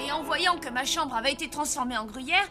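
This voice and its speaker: A woman voicing an earnest, excitable young boy hero in a French cartoon dub, youthful and pleading. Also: high-pitched, a little fast, over loud music, close to an everyday voice. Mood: worried.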